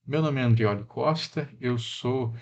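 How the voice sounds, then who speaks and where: A man from Mato Grosso do Sul, Brazil, giving a lecture in a reflective, unhurried way, with frequent fillers and tag questions. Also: medium pitch. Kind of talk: recorded lecture.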